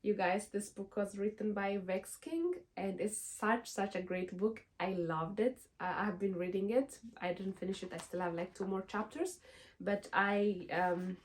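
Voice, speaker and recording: A woman's voice: mid-range voice, unhurried. A young woman from Ethiopia, speaking English as an in an earnest, encouraging self-help vlog, full of "like" and "you know". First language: Amharic. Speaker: vlogger.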